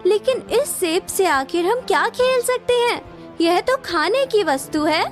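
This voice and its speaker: A woman's voice: very high voice, lively intonation, a little slow, faint music behind. A woman voicing a young, assertive female monkey, an enchanted merchant's daughter, in a cartoon story. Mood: curious, playful. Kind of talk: Hindi moral-story cartoon acting.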